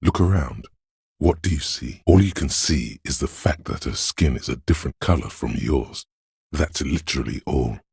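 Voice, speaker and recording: A man voicing a detective's coolly observant inner voice of visual reasoning in a video game, narrating in a measured, clinical, matter-of-fact way with a deep, gravelly tone. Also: lively intonation, a little mellow, no echo, clean studio sound, full character voice. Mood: blunt. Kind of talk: scripted second-person game narration.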